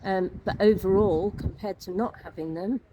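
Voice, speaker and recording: A woman's voice: mid-range voice, steady tone, normal speed, dull audio, noisy background. A woman giving a candid, practical review in a casual, conversational style as a co-host. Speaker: vlog co-host and product reviewer.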